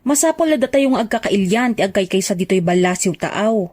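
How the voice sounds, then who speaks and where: A woman's voice: medium pitch, lively intonation, brisk, darkish tone, lightly acted. A woman with an Ilocano accent playing a persuasive, knowing friend in a radio drama. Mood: coaxing. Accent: Filipino, Ilocano. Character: persuasive, knowing female friend working overseas.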